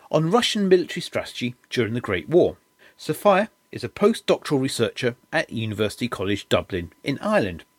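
A man with a husky voice, hosting an interview podcast with scripted introductions and questions. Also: mid-range voice, dry recording.

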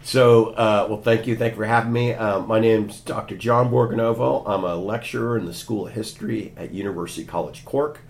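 Very low-voiced Californian American man. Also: fairly even intonation, a little fast, creaky, few pauses. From California, USA. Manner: frequent ums and restarts, lightly wry. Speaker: history lecturer, guest. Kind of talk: conversational interview answers. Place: university office. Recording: imperfect office recording.

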